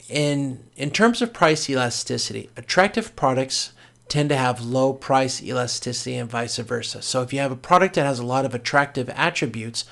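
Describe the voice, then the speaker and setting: A man's voice: medium pitch, gravelly, a bit bright. A man teaching as a course instructor in an informal recorded lecture, explaining step by step with everyday examples.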